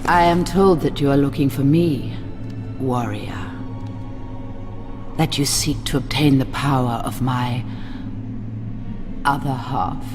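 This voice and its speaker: Cruel, imperious vampire queen, video game cutscene. Deep female register, unhurried, mocking, theatrical, over loud ominous score. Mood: seductive. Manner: theatrical, slow, taunting, slipping into breathy whispers. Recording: loud scary music behind the voice. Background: loud ominous music.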